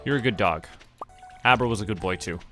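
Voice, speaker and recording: A man chats casually through a game stream, thinking aloud in short remarks. His voice sits a little low but comes across bright and forward, with music playing behind him. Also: fairly quick. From Canada. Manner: musing to himself in short remarks. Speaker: VTuber streamer. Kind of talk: casual livestream gaming commentary. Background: background music.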